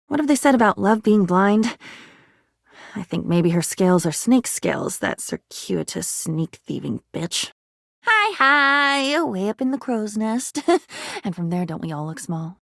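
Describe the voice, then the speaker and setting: A woman's voice: on the high side, no room sound, clean studio sound, exaggerated voice. An actress voicing a living diary in a video game, a wounded, sardonic woman with a theatrical, brooding manner. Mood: accusing, bitter, then wry, wounded. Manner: theatrical, sardonic, brooding, swinging between muttering and outbursts. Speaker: video game character voice actor. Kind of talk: dramatic video game voice acting.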